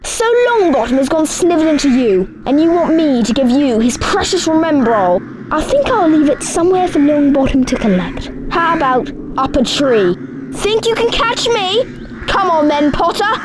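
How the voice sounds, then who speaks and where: High-pitched sneering schoolboy bully. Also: female voice, sing-song, normal speed, background noise. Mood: taunting. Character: sneering spoiled schoolboy bully, about eleven. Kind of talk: video game character acting.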